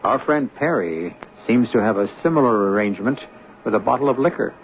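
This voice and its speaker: A man with a gravelly voice hosting and narrating a 1970s radio mystery in a measured, dramatic, wry way. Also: medium pitch, animated. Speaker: host and narrator. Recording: narrow-band old radio broadcast.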